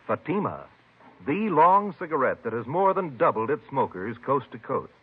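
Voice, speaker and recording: A man's voice: mid-range voice, very animated, normal speed, husky. A man with an American accent reading a polished 1950s radio advertisement as a commercial announcer. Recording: tinny vintage radio broadcast.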